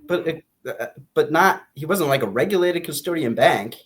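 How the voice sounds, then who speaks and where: A man co-hosting a podcast, chatting casually about a book in an informal, halting way, often rephrasing his points. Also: fairly high pitch, animated. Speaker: podcast co-host discussing a book.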